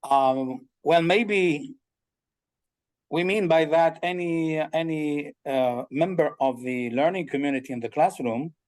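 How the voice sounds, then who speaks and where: Thoughtful man teacher, slightly high voice. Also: slow pace, darkish tone, no echo. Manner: thoughtful, hesitant with restarts. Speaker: teacher and session participant. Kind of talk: spoken contribution in online class. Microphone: video call.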